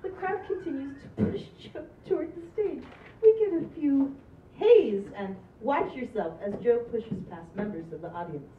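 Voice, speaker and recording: A woman's voice: a little high, animated, normal speed, slight background noise. Woman reading stage directions aloud. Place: small stage with green backdrop. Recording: distant, roomy, dull audio.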